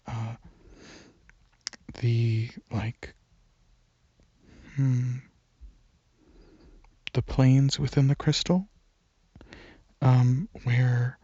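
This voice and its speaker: Young male ASMR rambler: hushed, hesitant, breathy and unhurried, on a dull, close-miked lo-fi recording. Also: medium pitch, no echo. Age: young adult. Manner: soft-spoken, hesitant, drifting into near-whispers. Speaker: ASMR channel creator.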